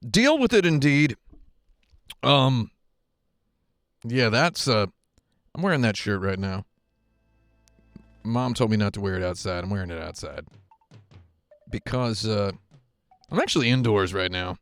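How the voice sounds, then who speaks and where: A man chats playfully on a live game stream, dropping deadpan asides in a lowish voice. The sound is close and dry, with faint music underneath. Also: unhurried, long pauses, clear, resonant tone, no echo. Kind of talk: casual live-stream chat.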